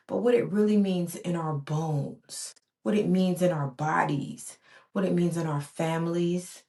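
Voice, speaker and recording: Earnest, lower-voiced woman podcast host. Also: a little mellow. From born in Barbados, raised in the US. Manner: earnest, rhythmic, with repeated emphatic phrases. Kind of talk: reflective solo podcast monologue.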